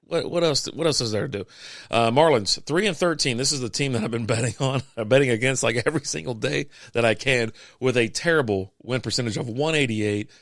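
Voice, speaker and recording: Guy going hoarse doing a casual sports recap, dry close mic, chatty and joking. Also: medium pitch, a little fast, a bit bright, dry recording. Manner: chatty, offhand asides and quips. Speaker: podcast host.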